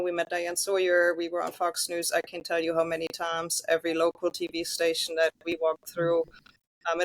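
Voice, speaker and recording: Woman with a German accent, earnest, flat delivery, dry sound, video call. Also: lowish voice, no echo, rough recording. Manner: earnest, with frequent ums and restarts. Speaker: guest, massage therapist. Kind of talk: casual video interview.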